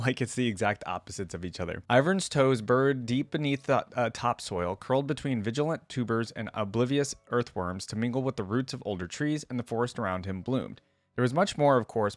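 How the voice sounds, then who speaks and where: A man's voice: mid-range voice, no room sound. A man hosting a reaction video, reading a story aloud expressively and adding casual commentary.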